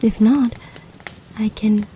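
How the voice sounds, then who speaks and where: A woman playing an imperious, amorous serpent empress in a fantasy audio drama, speaking formally and seductively in a breathy voice that often drops to a whisper. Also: on the high side, steady tone, mellow, a little hiss or hum, rough recording. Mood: seductive, longing. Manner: slow, commanding, formal, seductive. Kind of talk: romantic fantasy audio roleplay.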